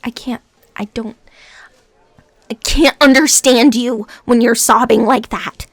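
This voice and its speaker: Woman acting an intense, wounded ex-stalker, high-voiced. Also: steady tone, dry recording. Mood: weary, conflicted. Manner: halting, emotional, swinging between hushed whispers and outbursts. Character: intense lovesick ex-stalker woman, bitter, wounded, fighting obsession. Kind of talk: intimate dramatic audio roleplay monologue.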